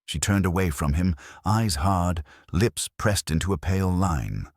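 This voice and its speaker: A man's voice: low-pitched, measured pace, dry recording, studio quality. A man narrating a detective story as an audiobook, in a dramatic scripted style.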